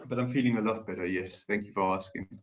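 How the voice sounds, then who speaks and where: A man with a nasal, slightly congested voice hosting a live market webinar, chatting with viewers by name and filling pauses with ums. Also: lowish voice, fairly even intonation, highs cut off. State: congested from a cold.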